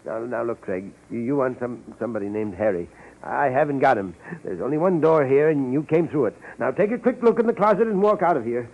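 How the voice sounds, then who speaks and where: A man's voice: mid-range voice, expressive, brisk. A man playing an evasive retired racketeer in an old radio drama, fussy and protesting, repeating himself. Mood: nervous, dismissive. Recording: thin, phone-like vintage radio recording.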